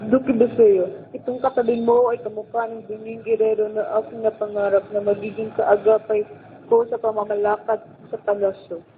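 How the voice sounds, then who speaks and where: A man's voice: high-pitched, flat delivery, a little fast, natural voice. A man playing a formal, commanding older king in an amateur radio drama. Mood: regal, welcoming. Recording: tinny, phone-like band-limited sound.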